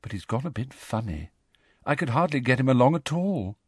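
A man voicing a soft, dreamy teenage girl with a hushed, gentle manner, in a fantasy audiobook. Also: medium pitch, sing-song, unhurried, breathy edge, no room sound. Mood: hushed, sad.

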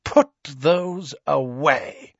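A man's voice: mid-range voice, expressive, fairly bright tone, no echo, dull audio, voice pushed up. Man voicing an icy, haughty aristocratic woman. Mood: cold threat. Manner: icy, slow, disdainful. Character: cold, haughty, menacing aristocratic mother.

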